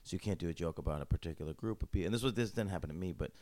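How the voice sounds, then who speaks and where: A young man with a fairly low voice hosts a casual podcast chat from a friend's apartment. He rambles loosely and jokes, often slipping into quick acted-out bits. The sound is close and dry, with no room around it. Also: darkish tone, no room sound. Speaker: podcast host.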